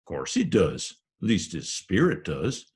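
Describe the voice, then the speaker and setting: A man's voice: low voice, huge pitch swings, slow pace, a little mellow, heavily acted. A man voicing a superstitious, frightened young boy in a storybook, with rustic, ungrammatical drawling talk. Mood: solemn, superstitious.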